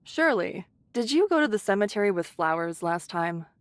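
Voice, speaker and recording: A woman voicing a caring, brisk shelter leader who scolds in a motherly, teasing way, in an English anime game. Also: mid-range voice, very animated, no room sound, close to an everyday voice. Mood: teasing, scolding.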